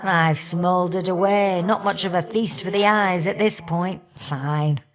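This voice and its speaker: A woman's voice: fairly low pitch, steady tone, measured pace, natural voice. Woman doing a fiery older goddess for a game, cheeky and folksy, teases you fondly. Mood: wistful, teasing. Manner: folksy, teasing, affectionate endearments and colloquial turns of phrase. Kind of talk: video game character voice acting.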